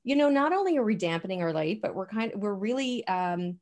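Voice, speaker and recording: A woman's voice: mid-range voice, animated, a little fast, darkish tone. A woman co-hosting an online coaching talk, chatting casually and affirming her partner, with frequent "you know" fillers. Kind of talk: casual conversational chat. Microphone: video call.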